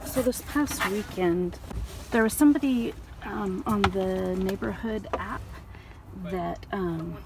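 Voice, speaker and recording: Slow-talking chatty woman vlogger. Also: medium pitch, background noise. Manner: rambling, chatty storytelling with fillers. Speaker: vlogger reselling scrap. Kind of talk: casual vlog chat. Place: at home, garage and car.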